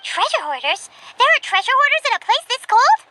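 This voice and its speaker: A woman's voice: extremely high pitch, sing-song, brisk, noisy background. A woman voicing a small, childlike, inquisitive fairy companion in a video game, with a cutesy, exclamatory manner. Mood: indignant, surprised. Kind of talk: anime-style video game voice acting. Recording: loud music behind the voice. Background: game music.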